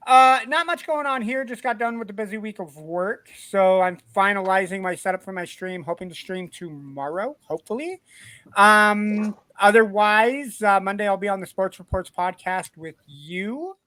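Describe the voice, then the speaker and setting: A man's voice: high voice, moderate pace, sharp tone, no echo. A man co-hosting a podcast in casual, upbeat chat. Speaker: podcast co-host.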